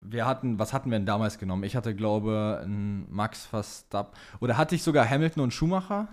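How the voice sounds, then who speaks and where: Young male co-host, animated pitch swings, slangy casual banter with English words. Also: a little fast. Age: young adult. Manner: informal youth slang mixed with English words. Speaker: podcast co-host. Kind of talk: casual chat about motorsport, reading out listener questions.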